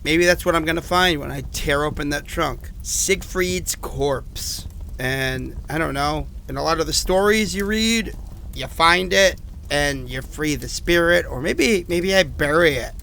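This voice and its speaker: A man's voice: a little high, unhurried, gravelly, sharp tone, slight background noise, full character voice. A man acting an anxious, self-doubting survivor who records rambling, hesitant diary messages in a horror audio drama. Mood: brooding, wry.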